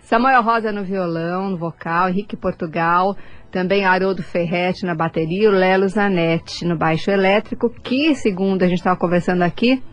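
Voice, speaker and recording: A woman's voice: fairly low pitch, moderate pace. A woman radio host speaking casually and conversationally, often seeking agreement.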